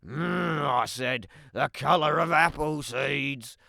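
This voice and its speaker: A woman voicing a sly, hungry, wry male wolf who tells his own tale in an animated children's read-aloud, with dramatic emphasis and playful asides. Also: low-pitched, slow, gravelly, no room sound, clean studio sound, heavily acted. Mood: sly, scheming.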